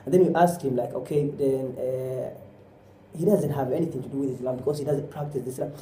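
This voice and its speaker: Male preacher, deep mellow tone, pressing questions, roomy echo. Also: fairly even intonation, a little fast, slight background noise. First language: Somali. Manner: exhorting with repeated rhetorical questions; often drops in Arabic phrases. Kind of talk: sermon.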